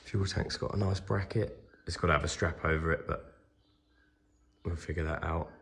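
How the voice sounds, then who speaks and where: A man with a cold, croaky and hoarse, chatting casually with lots of ums while filming a walkaround of his truck restoration in a workshop. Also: low-pitched, normal speed, slight background noise. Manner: relaxed, many ums, trailing off.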